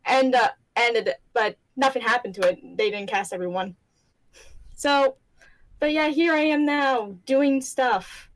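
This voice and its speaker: A young woman talks casually about how she got into voice acting. She rambles a little and giggles between thoughts. Her pitch sits in the middle range. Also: unhurried, poor audio. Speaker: hobby voice actor panelist. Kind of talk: casual panel chat.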